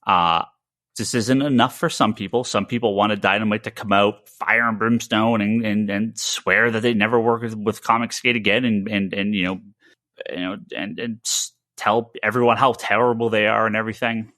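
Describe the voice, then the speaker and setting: Bright-voiced man talking casually, haltingly. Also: on the low side, steady tone, no echo, clean studio sound. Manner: halting, stammering with repeated words. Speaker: podcast host and comic shop owner. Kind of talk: casual podcast commentary.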